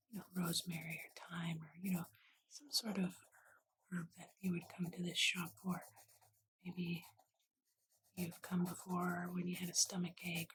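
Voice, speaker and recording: Soft whispery asmr artist talking thru a drawing, slow n gentle, pretty flat, bit of hiss and clicks. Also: medium pitch. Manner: gentle, unhurried, murmuring in short phrases. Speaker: ASMR art channel host. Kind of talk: ASMR, narrating a drawing as it is made. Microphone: close USB condenser microphone. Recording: noisy close mic with clicks and pen scratching. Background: hiss, clicks and writing sounds.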